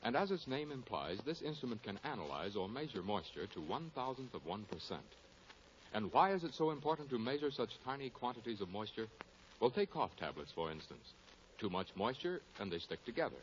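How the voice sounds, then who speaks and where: A man with a medium-pitched, very lively delivery narrates a scripted old radio commercial. The recording is dull and narrow, with faint hiss. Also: a little fast. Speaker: commercial spokesman.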